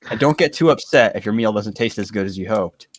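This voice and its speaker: A man's voice: fairly low pitch, animated, brisk, a little hiss or hum. A man reading an explainer script aloud to friends in an online voice chat, tripping over words and breaking off to joke.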